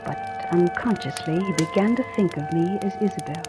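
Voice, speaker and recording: A woman's voice: fairly low pitch, moderate pace, close to an everyday voice. An actress playing a guarded, probing woman telling her suspense story in old-time radio drama style. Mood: brooding, uneasy. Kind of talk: 1940s-50s radio drama acting. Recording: old radio recording, music behind.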